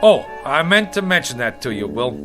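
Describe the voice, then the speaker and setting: An actor playing a brash, anxious hustler of a writer, wheedling in slangy, street-wise grammar. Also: male voice, medium pitch, big pitch swings, moderate pace, close to an everyday voice. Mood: glib, placating. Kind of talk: comic TV drama acting. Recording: music under the dialogue.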